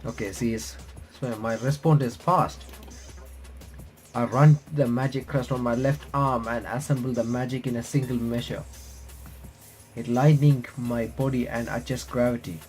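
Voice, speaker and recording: A man's voice: mid-range voice, fairly even intonation, darkish tone. Man reading a game aloud over music. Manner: halting read-aloud, stumbling and rereading phrases. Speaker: let's-play video creator reading a visual novel aloud. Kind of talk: reading game text aloud with casual reaction commentary. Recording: game soundtrack playing behind the voice. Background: visual novel music.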